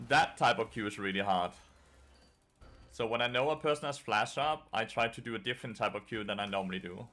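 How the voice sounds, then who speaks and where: Bright-voiced Danish man streaming a game. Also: lowish voice, fairly quick. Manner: casual, swears, answers chat between plays. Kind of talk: casual gameplay commentary.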